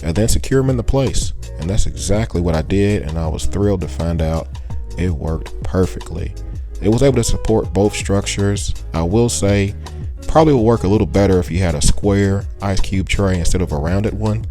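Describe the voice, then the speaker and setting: A young man in a relaxed, chatty voice-over, giving hobby tips with the odd small laugh. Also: low-pitched, normal speed, soft background music. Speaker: aquarium hobbyist vlogger. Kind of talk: casual how-to voice-over.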